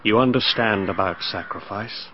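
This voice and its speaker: An actor playing a calm, menacing man who performs a ritual sacrifice in a radio drama, speaking in a soft, solemn, hypnotic way. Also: fairly low pitch, normal speed, noisy background, close to an everyday voice. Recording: dull lo-fi broadcast recording.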